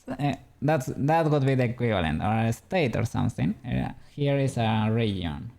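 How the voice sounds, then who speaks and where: A man's voice: medium pitch, gravelly, warm tone. A man from Spain with a Spanish accent, chatting casually with viewers while he works on a 3D model during a stream. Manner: rambling, answers chat, chuckles often.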